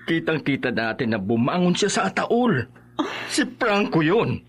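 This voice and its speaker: A man playing an older grandfather in a horror radio drama, halting yet emphatic in defending his son. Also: on the high side, big pitch swings, breathy edge, full character voice. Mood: disbelieving, defensive. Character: elderly grandfather, loyal father refusing to doubt his son.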